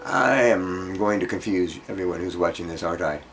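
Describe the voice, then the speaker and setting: A man with a low-pitched voice teaches an informal music workshop. He wanders through his explanations, thinking out loud and poking fun at himself, with prominent guitar playing alongside his talk. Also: normal speed. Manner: rambling, self-deprecating, thinking aloud. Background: acoustic guitar being played.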